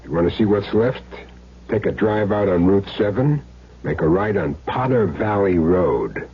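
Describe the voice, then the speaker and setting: An actor playing a cool, wisecracking hired killer in a radio drama, dry and glib. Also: male voice, lowish voice, lively intonation, a little slow, breathy edge. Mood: cool, businesslike. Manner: dry, glib, evasive answers. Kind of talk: 1970s radio drama acting. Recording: dull vintage radio broadcast.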